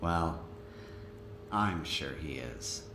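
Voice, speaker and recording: A man playing a gentle, wistful old stallion ghost in an audio drama, soft, courteous and crooning. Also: very deep voice, animated, drawn-out words, background noise, heavily acted. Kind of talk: audio drama acting with song. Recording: roomy playback with music underneath.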